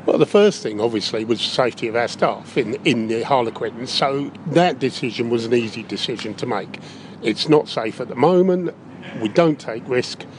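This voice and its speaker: A man's voice: mid-range voice, lively intonation, moderate pace. A British man, a council leader, answering interview questions in a plain, matter-of-fact way. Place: inside a circus big top tent. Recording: noisy location recording inside big tent.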